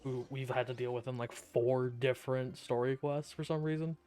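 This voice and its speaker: A man's voice: mid-range voice, steady tone, moderate pace, a little mellow, faint music behind. A young man giving casual, joking and offhand commentary while streaming a video game.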